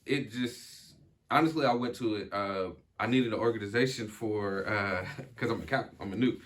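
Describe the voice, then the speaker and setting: A young man with a low, deep voice talks through his thoughts slowly in a casual chat. He fills his sentences with ums and restarts, and now and then catches on a stutter. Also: a bit bright. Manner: reflective, many fillers and restarts, occasional stutter. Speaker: podcast guest.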